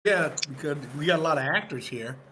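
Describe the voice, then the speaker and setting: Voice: medium pitch, lively intonation, a little fast, bright, forceful tone, slight background noise. A reader at an online table read, chatting casually about their part. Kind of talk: casual chat. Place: online voice meeting.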